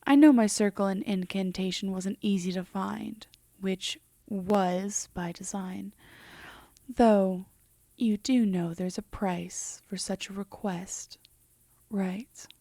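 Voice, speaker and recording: A woman playing a composed, teasing, possessive female demon in a roleplay audio drama, speaking in a measured, softly haughty way that slips into whispers. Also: mid-range voice, steady tone, no room sound, studio quality. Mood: unimpressed, sly. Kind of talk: roleplay audio drama, intimate slow delivery.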